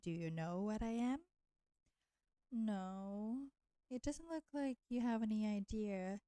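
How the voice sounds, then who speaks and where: A woman's voice: mid-range voice, mellow, smooth, no echo, close to an everyday voice. A woman voicing a flirty, nurturing vampire in an intimate roleplay audio drama, teasing and coaxing the listener. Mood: teasing menace. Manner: slow, teasing, coaxing, addressing listener with pet names. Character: flirty, teasing, nurturing female vampire, ancient enemy turned caretaker.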